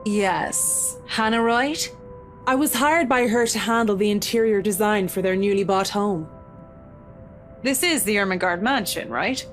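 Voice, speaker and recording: An actress playing a cool, curt interior designer in a visual novel game, with a clipped, reserved delivery. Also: female voice, medium pitch, measured pace, faint music behind, natural voice.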